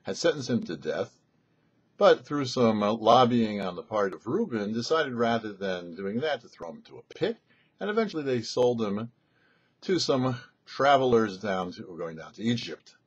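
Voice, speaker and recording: A man, a rabbi, giving a weekly Torah commentary in a thoughtful, explanatory teaching manner with pauses. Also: fairly low pitch, sing-song, husky, dull audio. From United States. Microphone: studio mic.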